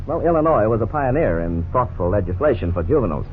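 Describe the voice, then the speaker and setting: An actor playing an earnest, authoritative family lawyer who explains things courteously in a 1950s radio drama. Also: male voice, on the low side, sing-song. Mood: earnest, instructive. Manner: measured, didactic, courteous. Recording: scratchy, tinny 1950s radio recording.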